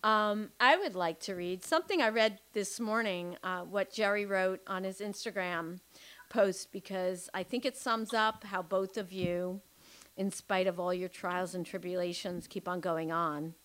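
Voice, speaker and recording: Woman podcast host, gushing and rambling, mid pitch, casual interview. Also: steady tone, measured pace, a bit bright, smooth. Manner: effusive, rambling with many restarts.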